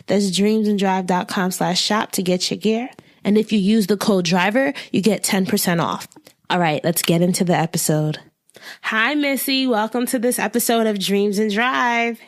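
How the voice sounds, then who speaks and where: Upbeat woman podcast host. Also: medium pitch. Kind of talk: podcast interview and promo read.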